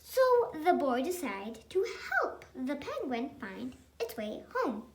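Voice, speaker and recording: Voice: high-pitched, very animated, slow pace, background noise. A child reading a picture book aloud expressively, with chatty asides. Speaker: storybook reader.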